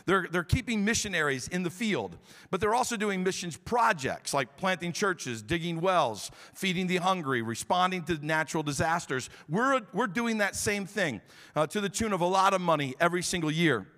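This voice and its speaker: A man's voice: high voice, expressive, moderate pace, bright, forceful tone, in a large hall. A man speaking as pastor from the pulpit, enthusiastic and rallying the congregation, in a large church auditorium.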